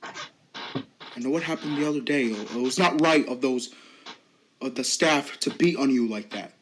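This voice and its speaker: A man playing a gentle friend who speaks softly and soothingly, hesitating with small fillers. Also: medium pitch, normal speed, noisy background, close to an everyday voice. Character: gentle supportive male friend. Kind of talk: amateur drama acting.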